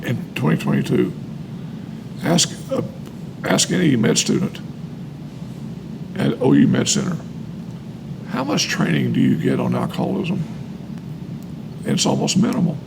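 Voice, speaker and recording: An older man giving casual, earnest explanations with anecdotes, often pausing mid-sentence. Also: huge pitch swings. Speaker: speaker on alcoholism recovery, retired medical worker. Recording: clear background noise with low rumble.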